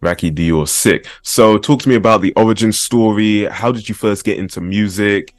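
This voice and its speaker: A man's voice: lowish voice, normal speed, no room sound. A man hosting a casual podcast interview, chatty and laid-back with plenty of slang. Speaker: podcast host.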